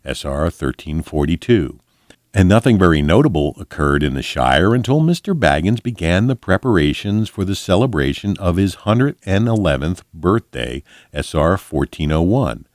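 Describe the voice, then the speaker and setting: A man reading a fantasy book aloud in an expressive audiobook style, with measured, dramatic storyteller phrasing. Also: low-pitched, no room sound, clean studio sound. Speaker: volunteer storybook reader.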